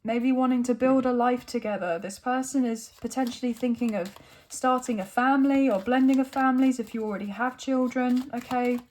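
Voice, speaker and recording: A woman's voice, a little high and noticeably croaky and worn from overuse. She talks in an easygoing, chatty way, often tagging her sentences with a quick check-in. Recorded on a phone. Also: steady tone, a little mellow. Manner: chatty, often adds tag questions like okay and right. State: croaky, tired voice. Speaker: tarot reader. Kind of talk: casual tarot reading to camera.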